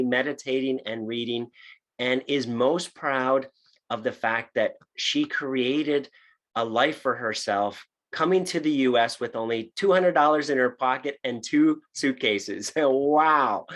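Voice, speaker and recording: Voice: mid-range voice, fairly bright tone. A show host introducing a guest and asking the first question, upbeat, reacting with short exclamations.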